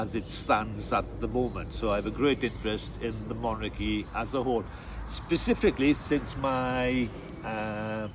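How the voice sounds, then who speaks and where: A man's voice: on the low side, background noise. A Welsh man in his sixties, a local guide and teacher, speaking in an interview with frequent fillers and restarts. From West Wales. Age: 60s. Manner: halting, many fillers and restarts. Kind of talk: interview monologue.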